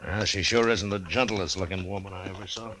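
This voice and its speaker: An actor playing a dry, world-weary American frontier marshal, laconic and understated, in a 1950s radio western. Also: male voice, low-pitched, fairly even intonation, brisk, background noise, close to an everyday voice. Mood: quietly amused.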